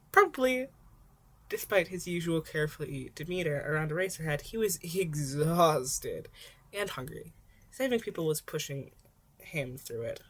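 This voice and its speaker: A woman narrating fan fiction in an amateur audiobook style, now and then stumbling and rereading words. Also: low-pitched.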